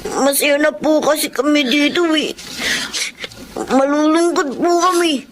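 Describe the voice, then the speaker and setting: A woman's voice: high-pitched, unhurried, slight background noise. An actress voicing a sweet, polite little Filipino boy in a radio drama. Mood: pleading.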